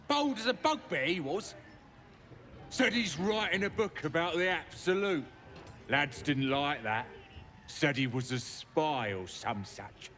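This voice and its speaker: High, swooping British goblin. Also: male voice, sharp tone, full character voice. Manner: colloquial, rambling. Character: dim, boastful, rough-spoken male goblin reader. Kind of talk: video game character acting.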